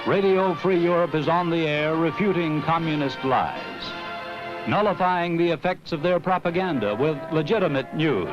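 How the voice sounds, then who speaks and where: An American man reading a scripted 1960s promotional film narration in a grave, emphatic, measured announcer's delivery. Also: on the high side. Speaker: documentary narrator. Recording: dull vintage film soundtrack with music.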